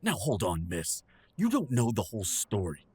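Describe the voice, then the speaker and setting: A man's voice: on the low side, huge pitch swings, moderate pace, a little mellow, husky, dry recording, exaggerated voice. A man playing a stern middle-aged father in an audio drama, accusing and self-righteous toward his grown son. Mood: stern, resentful. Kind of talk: audio roleplay drama acting.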